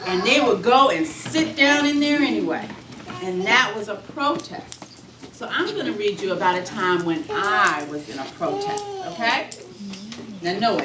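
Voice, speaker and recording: An American woman reads and talks to small children in a very animated, slightly high voice. She explains simply and puts questions to her listeners. The sound is roomy and picked up from a distance. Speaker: children's author reading to preschoolers. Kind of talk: talking and reading aloud to young children. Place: school room with young children. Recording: echoey room, distant mic, background noise.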